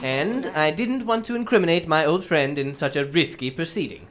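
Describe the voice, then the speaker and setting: A woman playing a shrewd, composed English gentleman detective in a radio drama, telling his case in formal, measured phrases. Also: deep voice, heavy background noise, lightly acted. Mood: candid, matter-of-fact.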